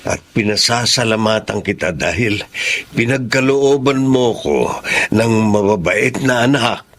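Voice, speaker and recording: An actor playing an earnest, gentle middle-aged husband and father with a Filipino accent and measured, formal phrasing. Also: on the low side, expressive. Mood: relieved, tender. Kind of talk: Filipino radio drama acting.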